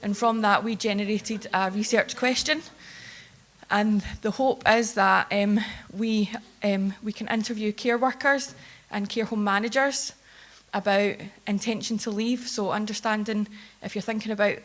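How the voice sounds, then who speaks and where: A woman researcher giving a plain-spoken conference presentation, steady but with filler ums. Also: mid-range voice, measured pace. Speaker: care home researcher. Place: conference hall.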